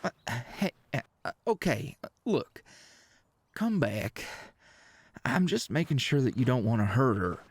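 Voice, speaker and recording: An actor playing a friendly, awkward, protective rancher with a Southern US accent and hesitant, stammering, folksy speech in an ASMR roleplay. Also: male voice, medium pitch, very animated, measured pace, pauses often. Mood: nervous, evasive, then protective, warning.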